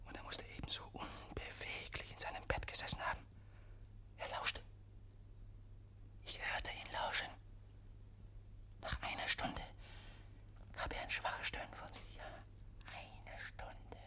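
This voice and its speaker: An actor playing a deranged, obsessive murderer confessing in halting, breathy whispers, as in a 1950s German radio drama. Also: male voice, sharp tone. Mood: hushed, tense. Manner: halting, feverishly intense, repeating phrases.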